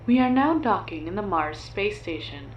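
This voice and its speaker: A woman's voice: medium pitch, animated, normal speed, music in the background, natural voice. A woman voicing a formal, impersonal terminal announcer in an audio drama. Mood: impersonal, official. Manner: official, impersonal. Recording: dull, echoey, noisy announcement sound.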